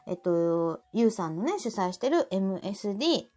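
A woman with a Kansai Japanese accent chatting casually as a co-host, playful and teasing, quick to react. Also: medium pitch, very animated, a little mellow. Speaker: co-host of a live audio chat show. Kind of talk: casual chat.

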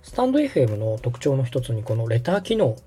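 Mellow male instructor over music. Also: medium pitch, big pitch swings, fast, slightly rough. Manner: polite, explanatory, instructing. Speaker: podcast host and radio director, giving instructions. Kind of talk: step-by-step app tutorial over background music.

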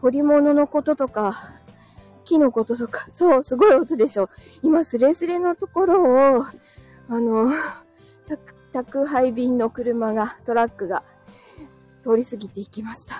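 A woman's voice: high voice, measured pace, long pauses, dark tone, faint music behind. A woman chatting casually and politely with viewers on a livestream, laughing often, a little breathless as she walks uphill while recovering from illness. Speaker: livestream host. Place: outdoors, walking on narrow hilly street.

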